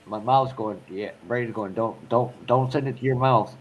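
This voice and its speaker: A man from New Hampshire trading stories in a casual group chat, talking quickly and playfully. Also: medium pitch, lo-fi sound. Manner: animated storytelling, quick and playful.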